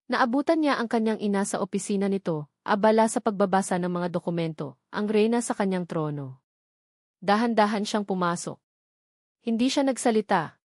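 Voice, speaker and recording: A woman's voice: medium pitch, a little mellow, no echo, studio quality. A woman reading a scripted love story as a narrator, in a dramatic storytelling style. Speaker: narrator of audio love stories.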